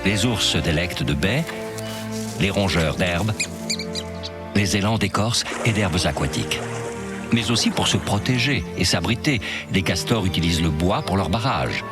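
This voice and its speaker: A man's voice: low voice, lively intonation, normal speed. A man reading scripted nature documentary narration for a young audience. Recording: very loud music behind.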